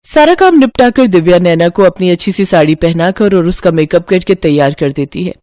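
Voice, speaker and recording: A woman narrating a scripted family story in an expressive storytelling style. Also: lowish voice, fairly quick, dry recording, studio quality.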